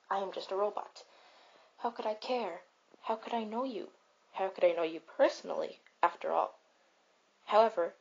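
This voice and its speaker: A woman playing a caring but blunt, self-important robot in a comfort roleplay, speaking in a deliberate, declamatory way. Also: mid-range voice, husky. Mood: blunt, dry, then earnest, grandiose. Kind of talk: comfort roleplay monologue with storytelling. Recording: slightly dull, raw home recording.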